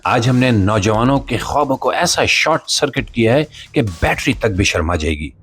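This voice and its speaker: A man's voice: medium pitch, expressive, moderate pace, fairly bright tone, faint music behind. A man with a Pakistani accent hosting a satirical news show, speaking to the audience in a sarcastic, theatrical monologue.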